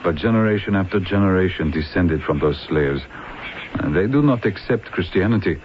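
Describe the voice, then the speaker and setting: Police lieutenant, low voice, French accent, deliberate and formal, commanding, vintage radio drama, dull hissy recording. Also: male voice, lively intonation. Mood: measured, explaining. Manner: deliberate, formal phrasing without contractions.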